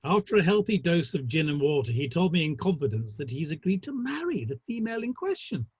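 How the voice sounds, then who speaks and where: Man playing arch Victorian gentleman, husky, very animated. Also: fairly high pitch, brisk, dry recording, highs cut off. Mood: gossipy, arch. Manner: arch, dry wit, formal phrasing. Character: wry, gossipy Victorian theatrical gentleman, amused and worldly. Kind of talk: online dramatic play reading.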